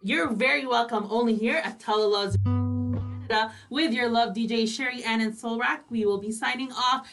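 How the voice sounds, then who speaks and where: A woman's voice: a little high, moderate pace, fairly bright tone. Woman radio DJ with music behind. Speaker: radio DJ host. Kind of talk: online radio interview hosting.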